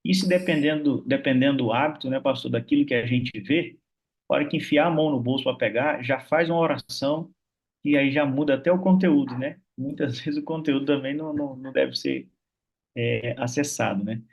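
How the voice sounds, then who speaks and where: A man's voice: medium pitch, brisk, creaky, slightly rough. A man from Minas Gerais hosting an online church prayer meeting, chatty and full of fillers. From Minas Gerais, Brazil. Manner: warm, chatty, many fillers like "né". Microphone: video call.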